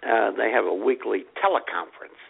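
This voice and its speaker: A man's voice: lowish voice, brisk, vocal fry, slightly rough. A man co-hosting a podcast over a cordless phone, trading dry puns and jokes. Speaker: podcast co-host. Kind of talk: casual comedic chat. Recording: tinny phone line.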